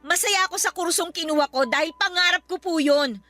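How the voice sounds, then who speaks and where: A woman's voice: extremely high pitch, fairly quick, bright, forceful tone, soft background music. A young woman playing a dutiful, weary daughter in a Filipino radio drama, respectful and pleading but aggrieved. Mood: hurt, exasperated. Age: young adult.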